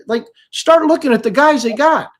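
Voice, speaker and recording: A man's voice: extremely high pitch, moderate pace. A man co-hosting a podcast as a hockey analyst, talking casually and emphatically, repeating phrases for emphasis. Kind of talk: casual sports talk.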